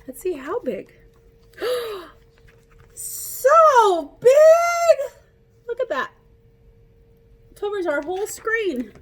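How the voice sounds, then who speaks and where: A woman reading a picture book aloud to children like a teacher, in an animated, playful way, coaxing young listeners to join in. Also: very high voice, very slow, long pauses.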